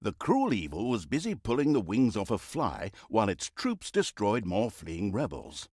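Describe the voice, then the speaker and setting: A man's voice: medium pitch, darkish tone, husky, no room sound, studio quality, exaggerated voice. A man playing a wry, pompous storyteller narrator in a fantasy game, with grandiose, sarcastic delivery. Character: wry, pompous male storyteller narrator mocking villains and heroes. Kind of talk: theatrical comic storybook narration.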